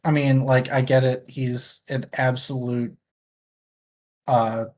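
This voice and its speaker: Casual book-club host, mid-pitched voice, wry and conversational. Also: little pitch movement, takes it slowly, a little mellow. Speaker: book discussion show host. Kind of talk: casual chat, book discussion.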